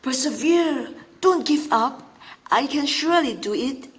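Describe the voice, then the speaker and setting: A woman's voice: sits high, expressive, unhurried, pitched above the performer's own voice. A woman voicing an earnest, proud young male tortoise in a storybook, with emphatic, determined delivery.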